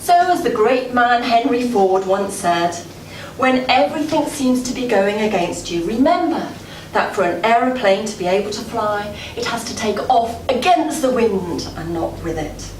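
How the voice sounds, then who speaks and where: A woman delivering a prepared after-dinner address as club president, telling a story in a deliberate, measured way with dramatic pauses. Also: fairly high pitch, lively intonation. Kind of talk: prepared public speech, storytelling. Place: indoor gala dinner room before an audience. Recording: echoey room, far from mic, noisy.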